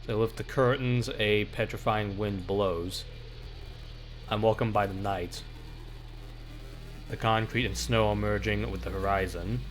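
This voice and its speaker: A man with a fairly low voice plays through a game casually over music. He reads on-screen text out loud and breaks off for offhand, muttered comments. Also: fairly even intonation, unhurried, long pauses. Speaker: video game streamer. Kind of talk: casual let's play, reading game text aloud with asides.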